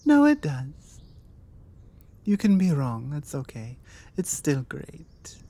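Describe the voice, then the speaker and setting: British female vampire, played by a woman, forward and self-assured, low mellow voice for ASMR roleplay. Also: breathy edge, heavily acted. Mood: teasing. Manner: chatty, self-assured, playfully insistent. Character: forward, confident female vampire making flirty small talk.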